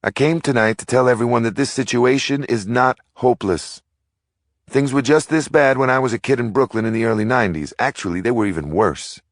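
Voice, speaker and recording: A man's voice: mid-range voice, brisk, no room sound, clean studio sound, voice pushed up, lightly acted. A man reading aloud, voicing a young woman who speaks up earnestly at a town meeting. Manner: earnest, pleading.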